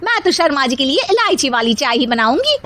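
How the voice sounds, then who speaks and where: A woman's voice: high voice, big pitch swings, a little fast, a bit bright. A woman voicing a touchy young daughter-in-law in a cartoon story, in a whiny, complaining and theatrical manner. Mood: argumentative. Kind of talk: cartoon story character acting.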